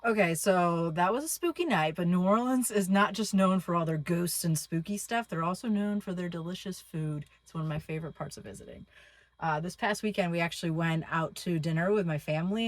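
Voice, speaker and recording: A woman's voice: on the low side, brisk, no echo. A woman chatting casually and informally to viewers as the host of a travel vlog, upbeat and chatty. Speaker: travel vlogger, channel host.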